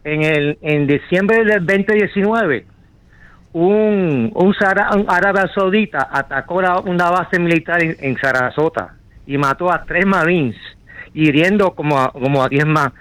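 A man's voice: fairly high pitch, moderate pace, a bit bright, no echo, low-quality recording. A man calling in to a radio talk show, indignant and rambling, talking over the host. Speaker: caller. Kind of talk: call-in radio rant.

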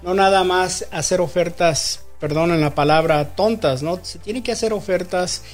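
A man's voice: sits high, moderate pace, soft background music. A man from Mexico, a real estate guest on a radio show, explaining the process plainly and joking now and then.

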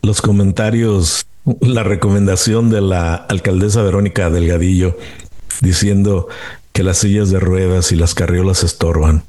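Low-voiced man, indignant commentary. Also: level tone, moderate pace, dark tone. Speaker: host. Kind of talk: news commentary, conversational.

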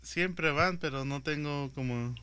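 A man's voice: fairly high pitch, unhurried, a crowd in the background. A man on a morning radio show chatting casually and giving the weather, dropping in English words. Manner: light, laughs easily, mixes in English words. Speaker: weather reporter.

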